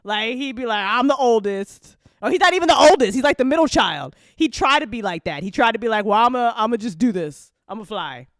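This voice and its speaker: A woman chatting casually and unscripted on an after-show, telling stories in a rambling, animated way with slangy swearing. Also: on the high side, a little fast, hardly any pauses, no room sound, studio quality. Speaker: audio drama creator chatting on a live after-show.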